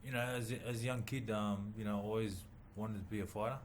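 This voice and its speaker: A man from New Zealand, a boxer, answering interview questions haltingly with many pauses and fillers. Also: on the low side, steady tone, a little hiss or hum. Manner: halting, many ums and uhs, soft-spoken. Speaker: boxer and former rugby league player, guest. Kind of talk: casual interview.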